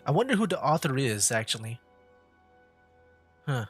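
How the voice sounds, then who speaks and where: A man streams a video game and chats off the cuff in a middling-pitched voice. His phrasing is halting and rambling, with repeated words. Faint game music plays behind him. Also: very animated, husky. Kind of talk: casual livestream commentary, rambling.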